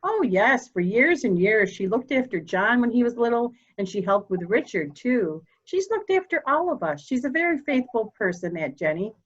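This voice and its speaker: A woman playing a proud, formal, candid elderly mother in a murder mystery reading, speaking deliberately. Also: on the high side, big pitch swings, husky, dull audio. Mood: composed, candid. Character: proud, cold old mother, candid and slightly deaf.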